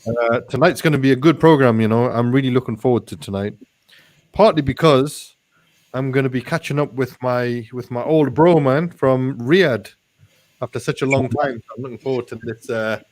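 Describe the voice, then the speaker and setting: A man's voice: mid-range voice, normal speed, darkish tone. A man hosting a casual interview show, speaking in an informal, matey way with Arabic phrases sprinkled in. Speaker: talk show host.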